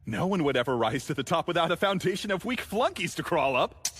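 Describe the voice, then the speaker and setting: An actor playing a greedy, gloating young boss in an animated comedy dub, theatrical, swinging between sly muttering and bellowing. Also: male voice, on the high side, brisk, husky, dry recording, heavily acted. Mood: megalomaniac glee. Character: scheming young male boss, manic, greedy, gloating megalomaniac.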